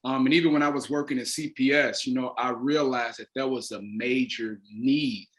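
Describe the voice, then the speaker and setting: A man with a medium-pitched voice speaks as a guest in an interview. His delivery is informal and slangy, with emphatic points made in a conversational flow. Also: steady tone, normal speed. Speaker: stage play creator and actor, interview guest.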